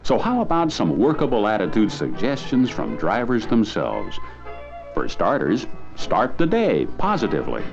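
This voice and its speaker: A man's voice: on the low side, huge pitch swings, normal speed, gravelly, highs cut off, over music. A man delivering dramatic scripted narration in a grave, theatrical storytelling manner, as on a 1980s training film soundtrack.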